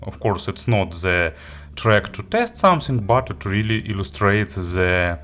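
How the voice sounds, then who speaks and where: A man who is not a native English speaker gives an unscripted product review, haltingly, often searching for words and rephrasing. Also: lowish voice, animated, fairly bright tone. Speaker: audio gear reviewer and host. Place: indoor studio.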